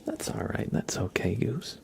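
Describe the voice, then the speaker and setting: A man hosting an ASMR livestream, speaking softly and soothingly in a breathy whisper to his viewers. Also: unhurried. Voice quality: breathy whisper, often unvoiced.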